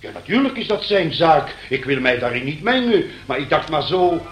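A man's voice: a little high, very animated, moderate pace, over music. A fussy busybody of a neighbour in a radio play, heard in a roomy space. His voice swings up and down as he officiously explains himself, flustered and apologetic. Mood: officious, agitated. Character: fussy, busybody male neighbour phoning the police.

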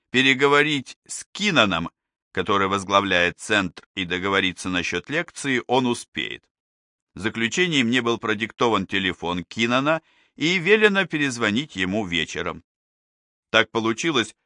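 Bright, lowish male audiobook narrator. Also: no room sound, clean studio sound. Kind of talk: first-person memoir reading.

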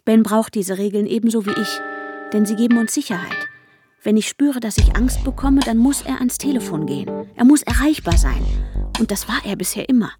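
Over a clearly audible music bed, an actress plays an anxious woman with an intense, hushed delivery. Her sentences are short and clipped, in the manner of a radio drama heroine who needs control. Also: a little high, brisk, lightly acted. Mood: tense, brooding. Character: anxious, controlling woman searching for her vanished fiancé, narrating. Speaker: protagonist and narrator. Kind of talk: radio drama inner monologue and dialogue. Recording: music under the voice.

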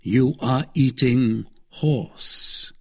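A man reads as a grave storybook gentleman soldier. He is measured and dryly witty, with lively pitch and a slightly husky tone. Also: exaggerated voice.

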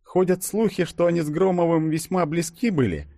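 A man's voice: a little high, brisk, warm tone, no echo, pitched above the performer's own voice, natural voice. A man voicing a curious young woman with a feigned casual, inquisitive manner in an audiobook. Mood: coyly curious.

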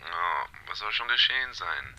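A man's voice: low voice, big pitch swings, normal speed, a crowd in the background, rough recording. An actor playing an irritable, dismissive man in a radio drama, grumbling brusquely through a telephone effect. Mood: irritated, sleepy. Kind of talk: German radio play acting.

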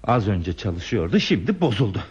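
An actor playing an earnest, anxious railway stationman in a radio play, with a husky voice. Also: on the low side, big pitch swings, moderate pace, a little mellow, a little hiss or hum. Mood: flustered, alarmed. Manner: earnest, repeats words when flustered. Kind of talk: Turkish radio theatre acting. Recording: muffled old radio drama recording.

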